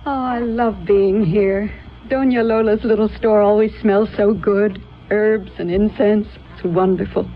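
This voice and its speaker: An actress in a radio drama playing a kindly, composed mentor in witchcraft, speaking in a measured, formal, reassuring way. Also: mid-range voice, steady tone, lightly acted. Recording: muffled, noisy radio broadcast.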